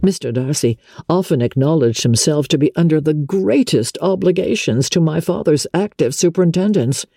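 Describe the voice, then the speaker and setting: A woman plays an aggrieved young gentleman from a classic novel. His voice is mellow and a little husky, close and dry. He speaks in a measured, confiding way, with mournful polish. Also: deep voice, lively intonation, no echo, exaggerated voice. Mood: earnest, aggrieved. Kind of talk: dramatic audiobook reading.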